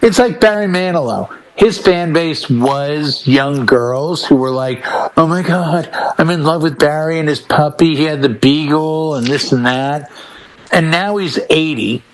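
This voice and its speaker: A man chatting casually as a panelist on a talk show, telling anecdotes in an animated way and acting out quoted dialogue. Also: fairly high pitch, a little slow, slight background noise, rough recording.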